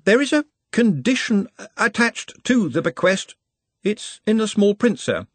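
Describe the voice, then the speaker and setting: A man's voice: high voice, big pitch swings, a little slow, dry recording, pitched above the performer's own voice, heavily acted. Man voicing a nervous, pedantic young wizard. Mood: hesitant. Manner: hesitant and precise, with fussy hedging.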